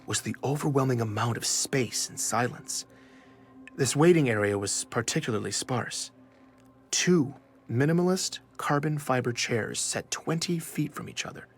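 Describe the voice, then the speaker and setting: Uneasy reporter, audio drama, medium-pitched voice, natural understated delivery. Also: male voice, slightly rough. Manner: measured narration, hesitant in dialogue. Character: nervous male investigative journalist narrating his own story. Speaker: narrator. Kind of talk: scripted first-person audio drama narration.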